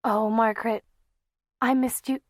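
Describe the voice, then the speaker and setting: A woman's voice: on the high side, steady tone, measured pace, dry recording, clean studio sound, voice pushed up, lightly acted. A woman reading an audiobook, voicing a desperate, protective young woman with urgent intensity. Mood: coaxing.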